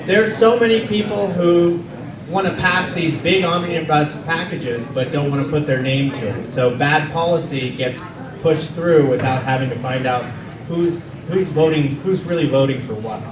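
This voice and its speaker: A man emcees a campaign rally, thanking speakers and announcing the next one. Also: fairly high pitch. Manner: fumbles names, quick asides. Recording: distant mic in echoey hall over crowd.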